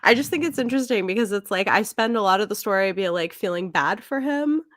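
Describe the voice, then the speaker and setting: A woman co-hosting a podcast, chatting casually about books in an informal, halting way as she thinks aloud. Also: mid-range voice, expressive, a bit bright, no room sound, clean studio sound. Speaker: podcast co-host. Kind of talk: casual book discussion chat.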